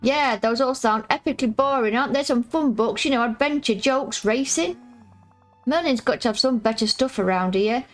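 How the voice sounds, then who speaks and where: A woman's voice: fairly high pitch, normal speed, faint music behind, lightly acted, rough recording. A woman reading as a brash, cheeky kid. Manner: blunt, slangy. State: croaky voice. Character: brash, cheeky young girl who loves racing games.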